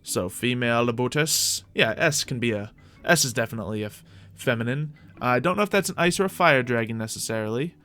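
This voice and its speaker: A man's voice: medium pitch, expressive, a little fast, few pauses, pushed and bright. A man doing casual gameplay commentary, musing and thinking aloud as he talks through his choices. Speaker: host of a gaming video series.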